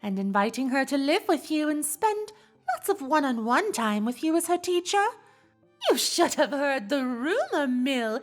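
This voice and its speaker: A refined female cartoon pony, full of teasing gossip and genteel theatrics. The voice sits high and swings widely in pitch, drawing out phrases, with music behind it. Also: big pitch swings, unhurried. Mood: sly, teasing. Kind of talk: fan fiction audio drama acting.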